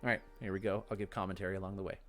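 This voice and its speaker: A man's voice: sits low, level tone, racing, fairly bright tone, soft background music. A man hosting a let's play, chatting casually and thinking aloud as he goes. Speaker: gaming video host.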